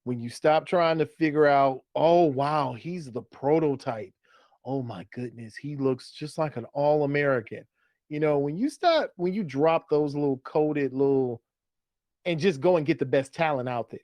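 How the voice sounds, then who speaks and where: A man's voice: fairly high pitch, moderate pace, a little mellow, no room sound. Man bantering as a sports co-host, close-up sound. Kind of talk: casual fantasy football banter.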